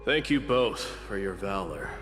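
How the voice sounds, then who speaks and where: A man playing a weary, commanding warrior lord in a game dub, measured, formal and gallant. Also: low-pitched, big pitch swings, fairly bright tone, heavily acted. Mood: resolute. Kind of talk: English game dub voice acting. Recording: long reverb, background music.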